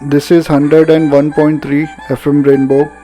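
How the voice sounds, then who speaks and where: A man with an Indian accent giving a casual, halting product demonstration as a radio reviewer. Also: on the high side, little pitch movement, warm tone. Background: radio music playing.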